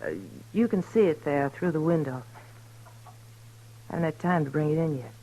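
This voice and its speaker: A woman's voice: low voice, normal speed, mellow, rough recording. Strong-willed rural American frontier girl. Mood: worried. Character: hard, anxious young frontier woman, strong-willed sister. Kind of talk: 1950s radio western acting.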